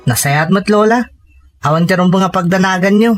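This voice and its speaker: A man's voice: high voice, a little fast, faint music behind, close to an everyday voice. A man playing an earnest, respectful young student in an Ilocano audio drama. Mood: reassuring. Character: Hector, young male student, respectful and reassuring to his grandmother.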